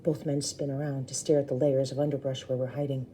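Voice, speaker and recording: A woman's voice: rumbling low, flat delivery, quick pace, mellow, slight background noise. A woman storyteller reading a children's book aloud expressively, with brief explanatory asides to listeners.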